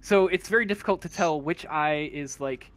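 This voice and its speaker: A man improvising narration as a tabletop game master, halting as he searches for words. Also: fairly high pitch, a bit bright. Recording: faint room noise.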